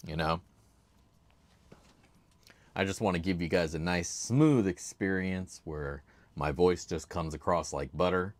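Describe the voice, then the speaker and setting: A man hosting a casual livestream, chatty and laid-back, with a loud voice, talking into a desk microphone at his home streaming setup. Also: low-pitched, a little slow, pauses often, no room sound. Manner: chatty, laid-back, reads chat names aloud. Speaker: livestream host.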